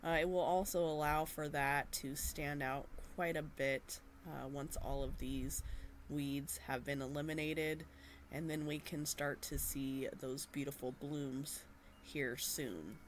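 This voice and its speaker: A woman narrating her gardening video in a gentle, unhurried way with frequent fillers, her voice slightly nasal from a cold. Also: low-pitched, steady tone, slight background noise. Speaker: gardening vlogger.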